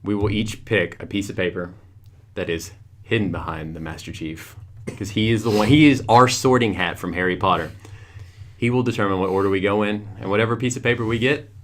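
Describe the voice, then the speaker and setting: A man's voice: lowish voice, fairly quick, a bit bright. A young man with a Western Kentucky accent, co-hosting a casual podcast with friends, upbeat and enthusiastic. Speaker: podcast co-host.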